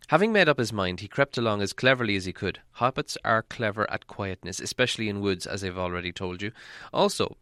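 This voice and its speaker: Low, bright-voiced dramatic male storyteller. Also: clear, resonant tone, no echo, clean studio sound. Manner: theatrical, with deliberate dramatic pauses. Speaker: audiobook narrator.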